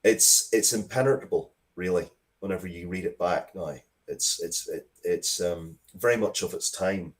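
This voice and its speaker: Low-voiced man in thoughtful interview chat. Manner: halting, thoughtful, repeats words as he goes. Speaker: interview guest, writer of Dracula comics and media. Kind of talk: casual interview conversation.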